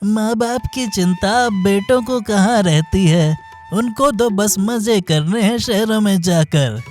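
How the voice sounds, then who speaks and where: An older village man in a cartoon, voiced by a man, sympathetic and a little preachy. He speaks very slowly, at an even middle pitch. Soft music plays behind him. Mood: musing. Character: slow-talking older village man, tau. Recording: faint background music.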